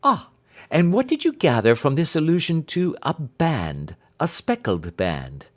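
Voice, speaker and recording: A man voicing a keen gentleman detective in a storybook reading, brisk, inquisitive and authoritative. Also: fairly high pitch, huge pitch swings, heavily acted. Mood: probing.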